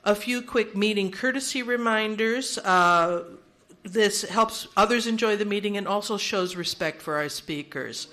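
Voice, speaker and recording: A woman's voice: mid-range voice, unhurried, a bit bright. An older woman who chairs and emcees a seniors' meeting in a large room. She speaks into a handheld microphone, giving orderly announcements with light humor and leading a prayer. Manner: orderly and practical, with light self-deprecating humor.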